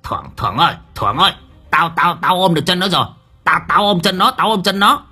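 A man voicing a clumsy skinny old man who shrieks high and stutters. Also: lively intonation, a little fast, sharp tone, breathy edge, soft background music, heavily acted. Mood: frantic. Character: clumsy skinny older man, shrill panic.

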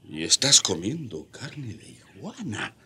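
An actor playing a haughty, exasperated Spanish conquistador captain in a radio drama, scolding and indignant. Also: male voice, lowish voice, sing-song, measured pace, pushed and bright, slightly rough, exaggerated voice. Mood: disgusted disbelief.

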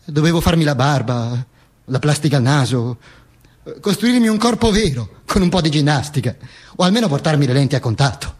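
A man telling a theatrical monologue as an actor, halting, repeating words and stressing them emphatically. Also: fairly high pitch, very animated, darkish tone, breathy edge.

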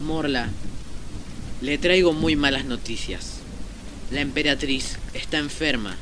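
A man's voice: a little high, unhurried, fairly bright tone, a little hiss or hum, rough recording. A man playing an earnest young boy hero on a quest in a radio drama, urgent and imploring. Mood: worried.

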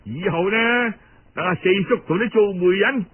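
A man voicing a pompous, greedy older Cantonese uncle in a storytelling performance, full of exclamations and drawn-out interjections. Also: high voice, phone-quality sound, a little hiss or hum, lightly acted. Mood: ingratiating, scheming. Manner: exclamatory, moralizing then wheedling, drawn-out interjections.

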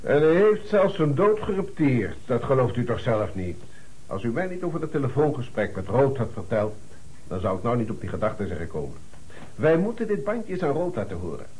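An actor playing a probing, commanding, sceptical investigator in a Dutch radio play. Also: male voice, mid-range voice, sing-song, brisk, darkish tone, slightly rough, poor audio. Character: insistent male investigator questioning witnesses about a death.

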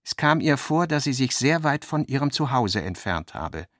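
A man with speech reads literary narration for a radio play in a measured, articulate manner. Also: medium pitch, sing-song, fairly bright tone, dry recording, clean studio sound.

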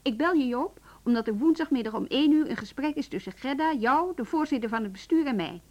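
A brisk woman in charge with a fairly high voice. She is direct, assertive and blunt, with little patience, in the manner of a radio drama. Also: animated, a little mellow, lo-fi sound. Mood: irritated, firm. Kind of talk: Dutch radio play acting.

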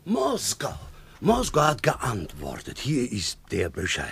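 An actor playing an anxious spa director in a radio play, husky, flustered and hesitant, repeating himself. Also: male voice, medium pitch, huge pitch swings, slow, a bit bright, exaggerated voice. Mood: eager, officious. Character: anxious Soviet spa director, afraid of responsibility.

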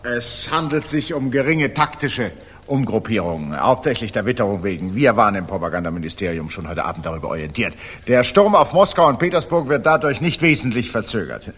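An actor playing a coldly correct propaganda ministry doctor, formal and measured, in a 1960s radio drama. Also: male voice, medium pitch, big pitch swings, a bit bright, breathy edge. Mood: officious. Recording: reverberant mono radio studio.

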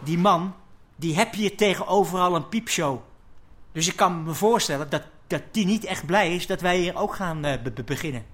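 A man's voice: high voice, fairly bright tone, a little hiss or hum. An actor playing a flustered, evasive man who stammers and halts defensively in a radio drama. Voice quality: stammering, halting. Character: flustered, aggrieved male builder running a new peepshow.

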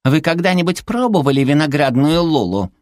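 A man's voice: a little high, lively intonation, brisk, darkish tone, dry recording, pitched above the performer's own voice, close to an everyday voice. A man voicing a cute, small, furry female villain in an audiobook, sweetly polite and pouty with gushing manners. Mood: gushing, earnest.

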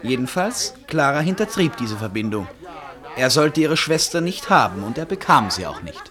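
A man's voice: mid-range voice, sing-song, moderate pace, a crowd in the background, natural voice. A man playing a restless, self-tormenting charmer in a 1950s radio play, a theatrical talker who swings between chatty and weary. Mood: mocking. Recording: 1950s radio recording with music behind.

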